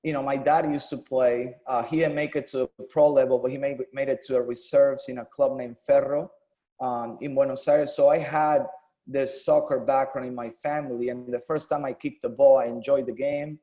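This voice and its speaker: Mellow-voiced man from Argentina. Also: mid-range voice, normal speed. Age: 30s. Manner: relaxed, conversational storytelling with many "um"s. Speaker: guest, former pro player and coach. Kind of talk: casual interview.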